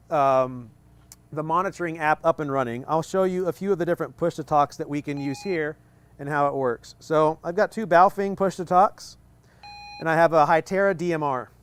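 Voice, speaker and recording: Man in his thirties, slightly high voice, easygoing demo presenter who talks things through and cracks small jokes; recorded under an outdoor tent. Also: clean tone. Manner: casual, joking, explains step by step with many fillers. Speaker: presenter at a live equipment demonstration. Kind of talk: live technical demo talk.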